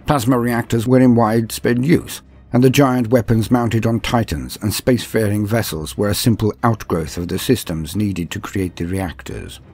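A man reading scripted fictional lore as a narrator, with a measured, formal and dramatic delivery. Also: fairly low pitch, darkish tone, slightly rough. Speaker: narrator and host of a science fiction lore guide. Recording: clean voice-over over faint music. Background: faint background music.

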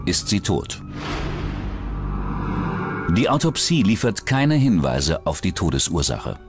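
Deep-voiced, animated male documentary narrator. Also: takes it slowly, soft background music. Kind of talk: scripted true-crime narration.

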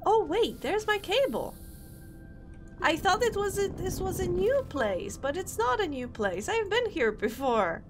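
A woman's voice: very high voice, big pitch swings, measured pace, soft background music. A young woman with a Polish accent, a Polish native speaker chatting bubbly on a gaming live stream and greeting viewers. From Poland. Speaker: VTuber streamer. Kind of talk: casual live-stream chat while gaming.

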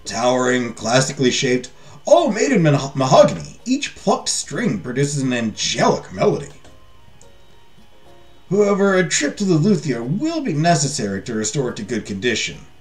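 A man's voice: medium pitch, wildly swooping pitch, normal speed, faint music behind. A man voicing an ingratiating storybook merchant with a flowery, salesmanlike manner. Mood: confident, selling. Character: well-traveled, ingratiating male merchant of rare treasures. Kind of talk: storybook character reading.

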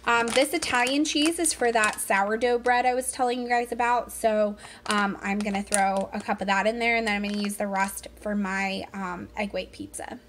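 A woman's voice: medium pitch, steady tone, moderate pace, few pauses, a bit bright, slight background noise. A woman chatting casually as she narrates grocery items for a home vlog, speaking from behind the camera. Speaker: vlogger showing a grocery haul.

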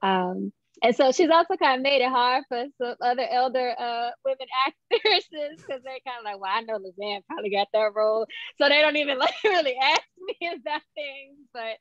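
A young woman, a playwright, answering thoughtfully in an interview on a video call, with measured pauses and dull audio. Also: high voice, lively intonation, no echo. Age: young adult. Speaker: playwright guest.

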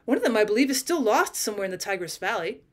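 A woman reading a classic short story aloud as scripted narration, expressive and deliberate, with emphasis on key phrases. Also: mid-range voice. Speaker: teacher reading audiobook.

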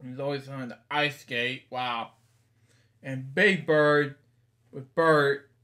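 A man's voice: medium pitch, fairly even intonation, slow, bright, forceful tone. A man in his thirties reading a picture book aloud in a small bedroom, rambling with casual asides and stock phrases.

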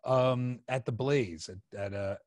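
A man in his forties hosting a casual interview, halting and rephrasing his questions as he goes. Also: medium pitch, darkish tone, no echo. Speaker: podcast host. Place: small home studio room, indoors. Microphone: close podcast microphone on a video call.

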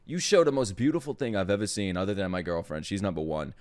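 A young man streaming his reaction to an anime at home, cracking crude jokes and breaking into sudden loud outbursts. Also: low-pitched, very animated, fast talker. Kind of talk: live reaction commentary. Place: home streaming room with gaming chair. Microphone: studio mic on boom arm, headphones on. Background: anime soundtrack playing faintly.

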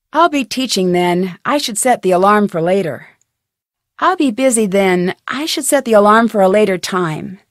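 A woman with an American accent playing a plucky, curious teenage girl detective in a video game, thinking aloud in a clear, chipper way. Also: on the high side, fairly bright tone, dry recording, clean studio sound, heavily acted. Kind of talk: scripted video game voice lines.

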